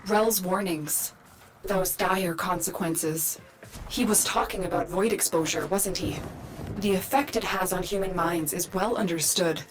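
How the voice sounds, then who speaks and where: A woman's voice: on the low side, unhurried, over music, natural voice, rough recording. A woman acting a composed, serious mission guide in a video game, giving controlled instructions. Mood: concerned. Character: composed female mission guide advising a soldier.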